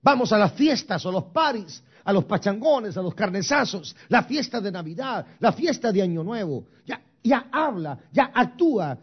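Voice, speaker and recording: A man's voice: sits high, very animated, normal speed, hardly any pauses, husky, dull audio. A man from Guatemala preaching a sermon as pastor, with emphatic, rising and falling intensity. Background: soft music.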